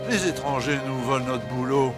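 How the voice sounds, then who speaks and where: A man's voice: medium pitch, moderate pace, over loud music, natural voice. A man playing a citizen who speaks indignantly in a street interview.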